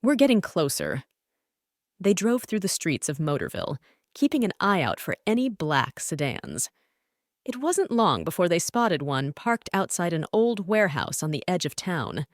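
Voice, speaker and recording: A woman's voice: on the low side, sing-song, moderate pace, dry recording, clean studio sound. A woman narrating a scripted bedtime story for children as a storyteller.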